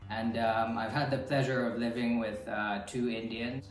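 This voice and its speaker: A man's voice: mid-range voice, normal speed, far from the mic, slight background noise. Finnish man in an echoey room. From Finland. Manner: relaxed with frequent fillers. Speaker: interviewee in a video. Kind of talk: casual testimonial.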